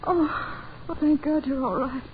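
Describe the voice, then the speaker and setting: An actress playing an expressive, easily frightened woman traveller in a 1940s radio horror drama, with dramatic, emotional delivery. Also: high voice, a little slow. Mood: frightened, anxious. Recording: dull, noisy 1940s radio recording.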